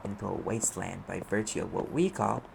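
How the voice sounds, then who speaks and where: A man's voice: fairly low pitch, fairly quick, vocal fry, very noisy. A man playing a sarcastic, impatient private eye mage in an audio roleplay, explaining things in a conversational, exasperated way. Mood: wry, explaining. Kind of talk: audio roleplay acting, one side of a dialogue.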